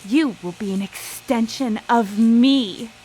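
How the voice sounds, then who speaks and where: A woman's voice: on the high side, slow, dark tone, a little hiss or hum, low-quality recording. An actress playing an obsessive, ruthless female scientist in a theatrical, formal manner for a live radio drama. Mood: triumphant. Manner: grandiose, theatrical, formal speech.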